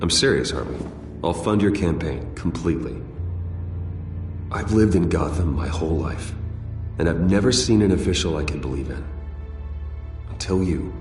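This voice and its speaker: A man playing a loyal, confident rich friend in a video game, sincere and steady. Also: sits low, moderate pace, long pauses. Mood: warmly sincere. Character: calm, confident, loyal wealthy male friend. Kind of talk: dramatic video game acting. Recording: distant, echoey room, faint music.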